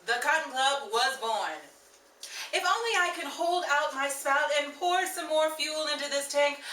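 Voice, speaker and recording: A woman hosting and narrating an old-time radio style show in a theatrical, patter-filled 1930s manner. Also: high voice. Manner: bright, theatrical, rhyming patter. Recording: echoey room from a distant mic, crowd and noise behind.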